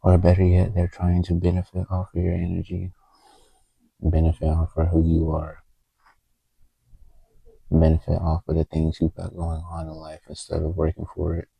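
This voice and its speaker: A man recording a heartfelt, halting confessional vlog on a handheld phone in a small closet, with a TV faintly audible from the next room. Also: sits low, monotone, vocal fry, warm tone, breathy edge, no room sound, poor audio. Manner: halting, heartfelt, stops often to find words. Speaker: vlogger. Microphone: handheld phone camera. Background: faint TV music from the next room.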